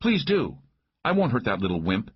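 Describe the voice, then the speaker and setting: A man's voice: on the low side, wildly swooping pitch, moderate pace, dull audio, a little hiss or hum, voice pushed up. A man voicing a hot-tempered, foul-mouthed, combative female sheriff in an audiobook. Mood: calming, apologetic. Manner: combative, blunt, cursing. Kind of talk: audiobook character voice.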